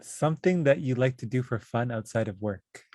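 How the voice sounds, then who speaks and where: A woman hosting a podcast, asking relaxed quick-fire questions in casual chat. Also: extremely low pitch, no echo, clean studio sound. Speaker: podcast host.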